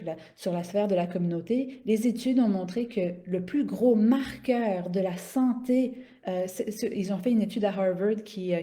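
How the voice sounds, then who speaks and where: A woman's voice: mid-range voice, warm tone. A woman, telling personal stories in a casual, unscripted way, often hesitating and repeating small words as she searches for the next one. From raised in the Middle East (Saudi Arabia, Dubai) in a Syrian-Canadian family. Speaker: health coach and business owner hosting a live stream. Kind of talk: spontaneous casual personal storytelling.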